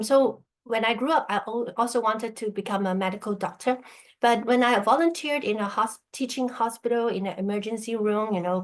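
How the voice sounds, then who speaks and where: Woman presenting over a video call. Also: medium pitch. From born in Burma, grew up in Hong Kong. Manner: checks in with listeners by asking "okay?". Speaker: guest presenter sharing her story. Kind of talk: casual spoken presentation to an online group.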